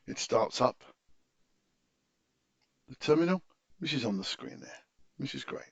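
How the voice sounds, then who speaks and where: A man giving an unscripted, methodical screen-share software tutorial in an explanatory, instructive way, on a dull recording. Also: mid-range voice, wildly swooping pitch, measured pace, dry recording.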